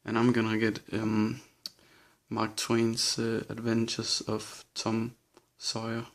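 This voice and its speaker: A man's voice: on the low side, monotone, slow. A young man with a Danish accent, listing books in a casual bookshelf tour, hesitant and a little nervous. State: nervous and shaky. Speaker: vlogger.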